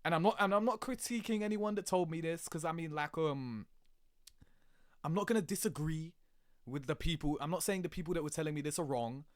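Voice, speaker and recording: A man giving casual, rambling reaction commentary in an animated, slangy, sweary way, laughing easily. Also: a little high, fairly quick, no room sound, clean studio sound. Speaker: video creator reacting to game cutscenes.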